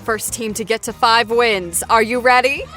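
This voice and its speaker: A woman's voice: a little high, steady tone, moderate pace, music in the background. A woman hosting a playful trivia game show in a bubbly, upbeat manner. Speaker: show host.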